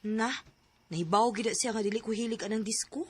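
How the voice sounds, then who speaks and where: A woman's voice: a little high, brisk, slight background noise, natural voice. An actress playing a reserved, reluctant young woman who tells her life story in a Philippine radio drama. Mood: hesitant.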